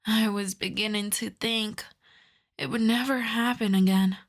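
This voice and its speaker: A woman voicing a seductive, possessive female vampire in an intimate ASMR roleplay, speaking softly and teasingly, often in a half-whisper. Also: medium pitch, steady tone. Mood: adoring. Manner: slow, soft, breathy half-whispered teasing delivery.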